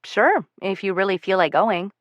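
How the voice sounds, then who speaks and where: A woman's voice: medium pitch, animated, moderate pace, no room sound, dull audio, lightly acted. Easygoing polite teen boyfriend, voiced by a female reader.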